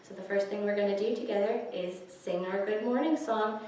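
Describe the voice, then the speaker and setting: A woman's voice: mid-range voice, steady tone, fairly quick, a little mellow, a little hiss or hum. Echoey, distant woman hosting children's story time. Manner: simple, encouraging address to young children. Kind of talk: children's story time, reading and singing along. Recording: very echoey room, far from mic.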